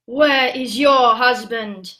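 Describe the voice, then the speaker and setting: A woman playing a brutal hired killer in a Shakespearean audio play, forceful and drawn-out. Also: fairly high pitch. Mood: threatening. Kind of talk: stage-style Shakespearean acting. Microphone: video call recording.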